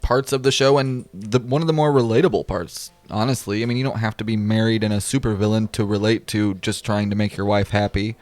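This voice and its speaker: A man with a fairly low voice talks casually on a podcast, enthusiastic and rambling. The sound is dry and close. He often starts a thought, drops it, and picks it up again. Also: fairly even intonation, a little fast, hardly any pauses, darkish tone, no echo. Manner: enthusiastic, rambling, trails off and restarts. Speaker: podcast co-host. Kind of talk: casual chat.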